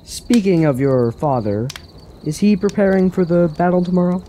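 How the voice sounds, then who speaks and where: An actor playing a teasing, wine-loving young villager with a sardonic manner in a fantasy radio drama. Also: male voice, high voice, lively intonation, normal speed, warm tone, slight background noise. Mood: merry, sly. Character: wry, wine-loving young village lad, mocking idler.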